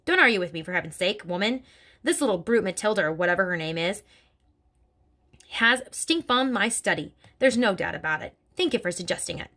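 A woman's voice: mid-range voice, expressive, fairly quick, bright, forceful tone. A woman reading aloud voices a bullying, hulking headmistress who barks, snorts and speaks with open contempt. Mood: bellowing scorn.

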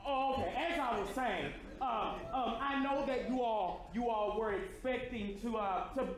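A man's voice: extremely high pitch, a crowd in the background. A man playing a flustered, starstruck hotel employee in a stage comedy, stammering and eager. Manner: stammering, halting. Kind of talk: broad stage comedy acting. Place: theatre stage before an audience. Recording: echoey theatre stage, some background noise.

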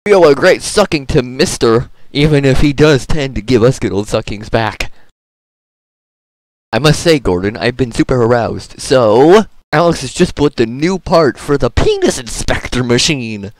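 A man voicing a crude, excitable character in a comedic video game parody, often exclaiming. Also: mid-range voice, normal speed, no echo, lightly acted, low-quality recording. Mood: crude, jokey.

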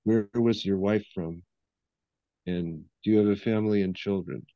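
A man's voice: lowish voice, moderate pace, dry recording, lo-fi sound. A man reading audience questions aloud to a guest speaker.

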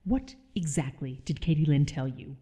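A woman's voice: sits low, expressive, normal speed, warm tone, a little hiss or hum. A woman playing a curt, demanding, sour small-town woman in an audio drama. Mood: suspicious, pressing.

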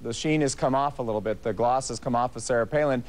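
Middle-aged man, smooth clear voice, calm, measured, remote studio. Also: mid-range voice. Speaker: governor, remote guest. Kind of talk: political commentary.

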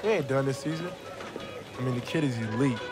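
A man's voice: medium pitch, fairly quick, over music, natural voice. A man playing an enthusiastic sports personality in a video game TV segment, informal and emphatic.

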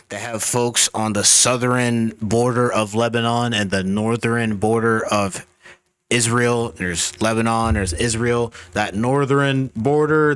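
Casual streamer guy, clean smooth voice, dry close mic, chatting reactions. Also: medium pitch, a bit bright, dry recording. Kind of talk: casual commentary.

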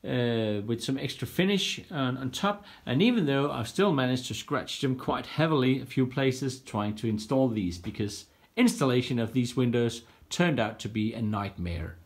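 A man's voice: medium pitch, lively intonation, normal speed, few pauses. A man giving a frank, self-deprecating walkthrough of his home build, filming on a handheld phone in a home workshop room. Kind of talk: casual walkthrough vlog. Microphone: handheld phone camera.

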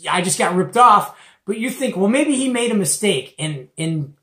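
A man's voice: high voice, animated, normal speed, pushed and bright. A middle-aged man with an American Midwestern accent tells a story casually and animatedly, tossing in slangy asides and questions to his listener. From Detroit area, United States. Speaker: host of a CB radio show-and-tell. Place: small cluttered indoor radio room. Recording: echoey room, mic at a distance.

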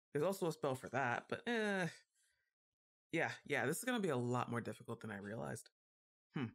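A woman playing a goth giantess witch girlfriend, exasperated and fondly bossy, with a drawn-out teasing delivery in an intimate ASMR roleplay. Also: rumbling low. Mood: resigned. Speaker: audio roleplay performer.

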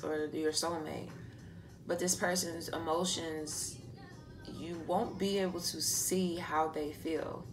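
A woman giving a casual, improvised card reading to an online audience, talking in an animated, slangy way and swearing freely. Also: lowish voice. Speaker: tarot card reader, online host. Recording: music playing behind the voice. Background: pop and R&B songs playing.

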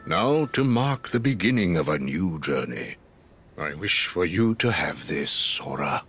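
An old, wise wizard master from a video game. His voice is extremely deep yet expressive, grave and formal, with music behind it. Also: husky, exaggerated voice. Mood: solemn, gentle. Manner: formal, measured, solemn. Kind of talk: video game cutscene voice acting.

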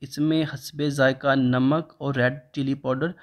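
Man, cooking voice-over, monotone, instructional, mid-pitched. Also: unhurried. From Pakistan. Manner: steady step-by-step instructional tone. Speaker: cooking channel host. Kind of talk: recipe voice-over narration.

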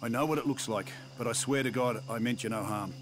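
An actor voicing an earnest, duty-bound army colonel in a video game, measured and insistent. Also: male voice, medium pitch, close to an everyday voice. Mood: earnest, pleading. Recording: outdoor insects and background noise.

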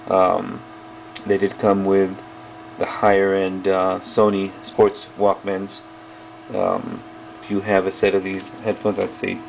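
A man with a very low, gravelly voice hosts a casual hands-on review. His delivery is flat and halting, broken into short pieces. There is faint noise behind him. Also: low-quality recording. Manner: halting, piecemeal delivery while handling items. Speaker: video host reviewing old electronics. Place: small indoor workroom at a bench.

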